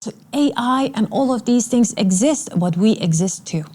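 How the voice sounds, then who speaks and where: A woman's voice: on the high side, lively intonation, moderate pace, mellow. A woman in her thirties, originally from Iran, speaking candidly and with emphatic repetition as an interview guest. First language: Persian.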